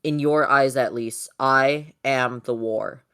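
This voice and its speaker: An amateur reader voicing a solemn, noble male robot leader giving a measured, oratorical address in a comic dub. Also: medium pitch, fairly bright tone, smooth.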